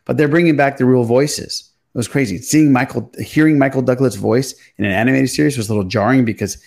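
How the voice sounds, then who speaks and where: Creaky-voiced male podcast host. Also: mid-range voice, fast, darkish tone. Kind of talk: casual panel chat about films.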